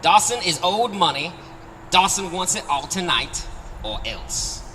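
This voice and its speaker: A man's voice: high voice, sing-song, measured pace, bright, forceful tone, voice pushed up, exaggerated voice. A man reading a story aloud as a stubborn, self-important debt collector who makes blunt threats and talks about himself in third person. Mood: demanding, threatening. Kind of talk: acted dialogue in a short-story reading. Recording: distant, very noisy, reverberant street-side live recording.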